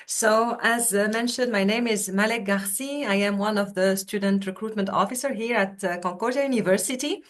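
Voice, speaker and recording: A woman's voice: medium pitch, normal speed, hardly any pauses. A woman from Tunisia, a university recruitment officer, giving a webinar presentation over a video call in a chatty way full of fillers and restarts.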